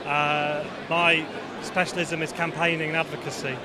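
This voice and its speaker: A man who works in campaigning and advocacy, speaking in a measured, thoughtful way in a vox pop interview. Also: a little high, little pitch movement, crowd noise, noisy background.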